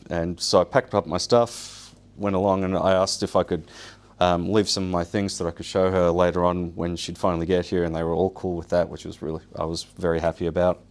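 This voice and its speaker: Male guest speaker, native Polish speaker, very deep voice. Casual, wry, self-deprecating storytelling. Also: flat delivery, normal speed, smooth. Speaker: guest speaker, survival show winner. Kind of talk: informal first-person storytelling to an audience.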